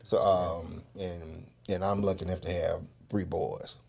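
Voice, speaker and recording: A man's voice: sits low, flat delivery, unhurried, creaky, a little mellow. A man, a stage actor, talking in a casual, reflective and laid-back way in an interview.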